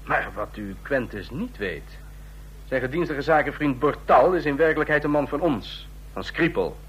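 An actor playing a suave, scheming ambassador in a Dutch radio drama, speaking formally and deliberately. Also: male voice, mid-range voice, animated, slightly rough, low-quality recording. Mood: conspiratorial. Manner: formal, deliberate, declamatory when toasting. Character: suave, scheming ambassador of a small authoritarian state.

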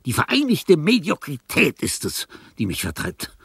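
An actor playing a frail, dying old composer in a radio play, self-pitying and grandiose, rambling theatrically with a breathy, husky voice that often falls to a whisper. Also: male voice, fairly high pitch, huge pitch swings, moderate pace, fairly bright tone, dry recording, exaggerated voice. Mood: resolute, brooding. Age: older. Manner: theatrical, rambling, with sudden swings from murmur to outburst. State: frail, ailing.

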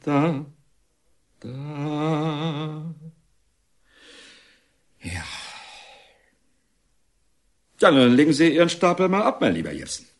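An actor playing a stern, self-important middle-aged reformatory director in a German radio drama, speaking formally and deliberately with a husky voice. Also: male voice, a little high, animated. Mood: probing, lecturing.

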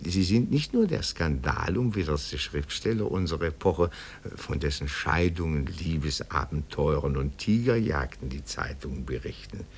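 An actor playing a fussy, zealous petty-bourgeois amateur detective in a radio play, declaiming in pedantic, flowery phrases. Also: male voice, rumbling low, animated, moderate pace, few pauses, a little hiss or hum. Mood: pedantic, admiring. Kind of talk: 1950s German radio play acting.

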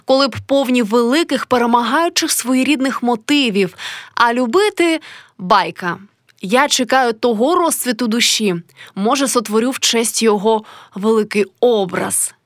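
Woman acting out young female artist, high voice, proud, anguished, declamatory, audiobook drama, dry recording. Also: normal speed, no echo, close to an everyday voice. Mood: disdainful.

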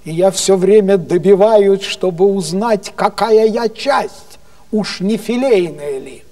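Man doing a grand, high-voiced old stage wit. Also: slow pace, mellow, recorded from a distance. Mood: mock-solemn. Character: grand, witty old theatre actor telling jokes.

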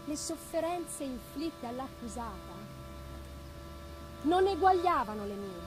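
A woman's voice: extremely high pitch, rough recording. A woman voicing a tormented, guilt-ridden man in an intense, declamatory gothic novel reading. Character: tormented man narrating his guilt and anguish. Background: live music.

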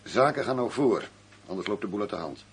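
An actor playing a shrewd, stubborn arms dealer in a crime radio drama, speaking in a curt, commanding and condescending manner. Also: male voice, lowish voice, lively intonation, a little fast, natural voice. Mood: hard, condescending. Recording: faint noise and hum.

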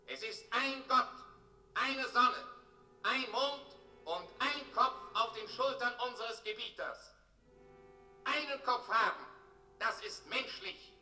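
An actor playing an officious town crier in a radio play, declaiming bureaucratic proclamations. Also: male voice, very high-pitched, bright, forceful tone, heavily acted. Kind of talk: German radio play acting. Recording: roomy distant radio play, music behind.

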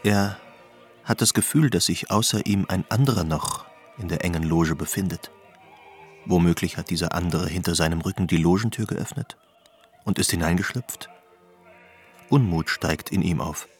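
A man giving scripted literary narration for a radio drama in a measured, suspenseful way. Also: deep voice, soft background music.

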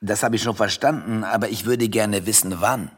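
A man's voice: lowish voice, steady tone, moderate pace, slightly rough. An actor playing an arrogant, domineering wealthy man in a radio drama, with a brusque, confrontational manner. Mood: needling, hostile. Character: Tom, arrogant, needling, domineering wealthy husband.

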